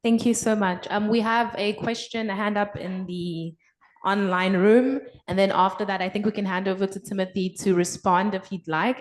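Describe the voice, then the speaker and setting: A woman moderating a conference discussion, polite and organized as she passes the floor to the next speakers. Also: mid-range voice. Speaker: session moderator.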